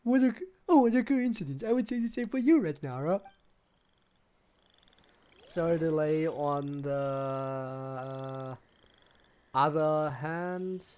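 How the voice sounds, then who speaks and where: A game streamer giving casual livestream commentary, full of wry, quippy asides. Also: medium pitch.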